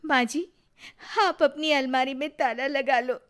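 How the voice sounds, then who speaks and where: An earnest young runaway bride from an animated tale, acted by a woman. She speaks in a high voice, meek and polite, with a plaintive edge. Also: measured pace. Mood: hurt, meek. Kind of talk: animated story acting.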